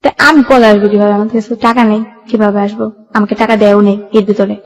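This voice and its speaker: A woman's voice: mid-range voice, level tone, quick pace. A young woman with a colloquial Bangladeshi Bengali accent, telling her life story in a plain, run-on way as a radio interview guest.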